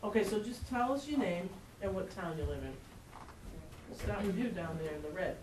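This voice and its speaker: Woman chair, brisk and friendly, distant roomy room sound with hiss. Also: medium pitch, a little mellow. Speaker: meeting chair. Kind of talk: town board meeting. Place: town hall meeting room. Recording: roomy hall audio from afar, background noise.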